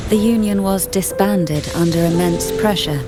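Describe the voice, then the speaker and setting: A woman voicing a poised, secretive noblewoman in a video game story, speaking in a composed, refined and deliberate way. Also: on the low side, lightly acted. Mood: grave, composed. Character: poised, secretive noblewoman of an old disgraced family. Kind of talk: video game story voice acting, measured exposition. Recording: game music behind the voice.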